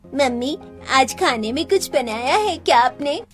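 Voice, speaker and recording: A woman acts out a sweet, hungry little girl for a cartoon. The voice is high and childlike, with a plaintive manner. Soft music runs underneath. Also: lively intonation, a little slow. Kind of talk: animated story dialogue.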